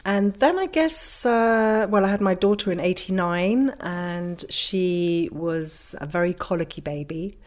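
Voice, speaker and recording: A woman's voice: on the low side, unhurried, few pauses, darkish tone. A woman in her fifties with a London accent, a podcast guest telling anecdotes in a casual, conversational way. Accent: London English. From London, England. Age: 50s. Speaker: interview guest, craniosacral therapist.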